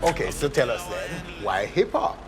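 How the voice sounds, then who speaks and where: A man's voice: mid-range voice, sing-song, normal speed, over music. A man hosting an entertainment news show, reading stories with a lilting delivery and then interviewing a guest.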